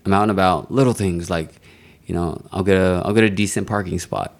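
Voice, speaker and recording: A young man chatting casually on a podcast and telling animated, profane stories in a booming voice. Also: fairly quick. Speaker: podcast guest.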